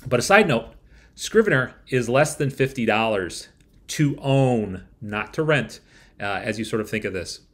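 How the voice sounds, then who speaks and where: A man's voice: mid-range voice, animated, a little slow. A man in his forties presenting a scripted review to camera, with wry rhetorical asides. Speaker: software reviewer. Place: small room at home, indoors.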